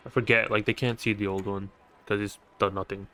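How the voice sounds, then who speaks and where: A man's voice: fairly low pitch, little pitch movement, a little fast, sharp tone. Casual young man commenting over music. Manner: slangy, offhand. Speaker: game streamer.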